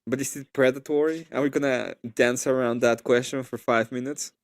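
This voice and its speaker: Man, mid-pitched, crude jokey banter, dry close sound. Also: fairly even intonation, a little fast, no echo. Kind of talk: casual group chat.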